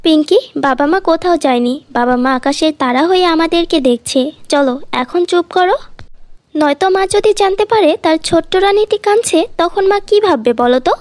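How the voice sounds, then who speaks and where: A caring, hardworking orphan girl looking after her sister , acted by a woman. Her voice is high and earnest, soft-hearted and pleading, in a dry cartoon recording. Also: lively intonation, normal speed, no room sound. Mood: soothing. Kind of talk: cartoon fairy tale dialogue.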